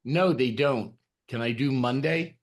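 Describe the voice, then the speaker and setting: A man's voice: medium pitch, measured pace. A man speaking as a caller in a plain phone conversation, polite and brief in his replies. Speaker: caller testing a booking line.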